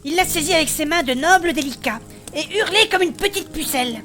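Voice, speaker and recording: Woman acting a high-voiced spirited blacksmith heroine. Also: brisk, faint music behind, exaggerated voice. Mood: lively storytelling. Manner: animated storytelling, colloquial. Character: spirited female blacksmith adventurer fondly recounting her childhood. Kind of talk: French fantasy audio fiction acting.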